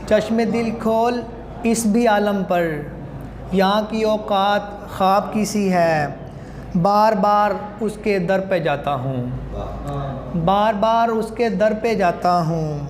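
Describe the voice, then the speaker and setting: A male student reciting poetry in a measured, declamatory way. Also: high-pitched. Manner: measured, repeating lines declamatorily. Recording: very echoey hall, clear background noise.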